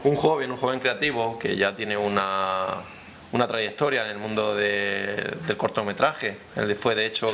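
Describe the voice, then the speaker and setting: Male councillor, thirties, fairly low voice, semi-formal rhetorical delivery with repeated phrases, faint background noise. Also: fairly bright tone. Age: 30s. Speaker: town councillor for youth, presenting at a press conference. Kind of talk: semi-formal press conference speech. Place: indoor press room, seated at a table. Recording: noticeable room background noise.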